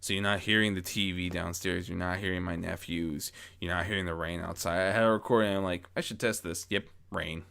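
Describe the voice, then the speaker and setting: A man talking casually and reflectively to his followers as an amateur story narrator, rambling and correcting himself as he goes, close on a boom-arm mic. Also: fairly even intonation, a little fast, gravelly, few pauses, bright, forceful tone, clean tone. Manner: rambling, self-correcting, frequent false starts. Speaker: amateur audio story narrator and channel host. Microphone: close mic on boom arm with pop guard.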